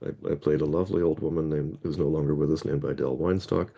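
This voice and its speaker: A man's voice: extremely low pitch, fairly even intonation, fast, gravelly, mellow, husky. A middle-aged man from Toronto, a guest heading a Scrabble players association, telling a long anecdote in a casual interview and rephrasing himself mid-sentence. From Canada (Toronto).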